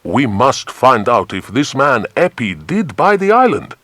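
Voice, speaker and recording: A man voicing a small, excitable foreign police chief with clipped, formal English in a children's audiobook. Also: medium pitch, unhurried, no room sound, voice pushed up. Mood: indignant.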